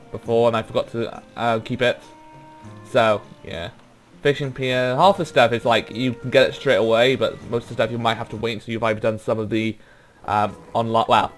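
A man's voice: mid-range voice, fairly bright tone. A young Welsh man giving rambling, laid-back let's play commentary, trailing off with ums as he goes through menus. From Wales. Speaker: gaming YouTuber.

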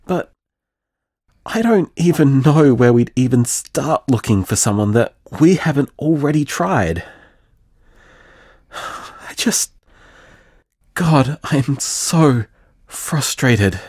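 An actor playing an anxious, frustrated, grieving man, halting and questioning as he vents in an audio drama voicemail. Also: medium pitch, lively intonation, a little mellow, no echo, clean studio sound. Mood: tired, vulnerable.

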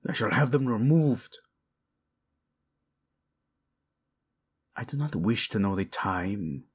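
A man's voice: lowish voice, lively intonation, moderate pace. A man playing a possessive, devoted prince in a romantic audio roleplay, murmuring formal words with Spanish endearments to his wife. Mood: grumbling. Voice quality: husky, gravelly, breathy in places.

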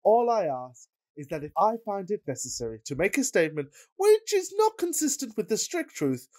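A woman playing a pompous, amorous gentleman bachelor with florid, mock-solemn delivery in a radio-play farce. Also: mid-range voice, very animated, normal speed, close to an everyday voice. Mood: self-assured.

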